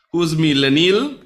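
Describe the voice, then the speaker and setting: A man's voice: a little high, a bit bright. Playful man teaching. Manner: playful, teasing, chatty with students. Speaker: Spanish teacher. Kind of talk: live online class.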